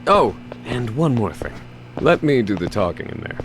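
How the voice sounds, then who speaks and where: An actor playing a wry, casual fixer and guide in a video game dub, with a glib, teasing way of talking. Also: male voice, mid-range voice, very animated, normal speed, music in the background, natural voice. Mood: breezy, wry. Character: breezy, wry male real-estate fixer and local guide.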